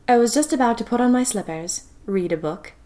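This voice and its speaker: A woman's voice: a little high, lively intonation, brisk, dark tone, natural voice. Audio drama lead: actress playing adventure-hungry young woman of the twenties, theatrical and wistful first-person diary storytelling. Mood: breathless storytelling. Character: spirited young single woman of 1920s, romantic, adventure-hungry diarist.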